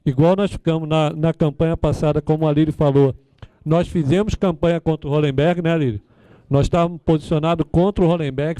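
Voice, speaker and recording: A man's voice: fairly high pitch, mellow, no echo. A Brazilian man giving an informal endorsement speech, repeating himself and often seeking agreement with tag questions. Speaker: former police administration official speaking at a candidate forum.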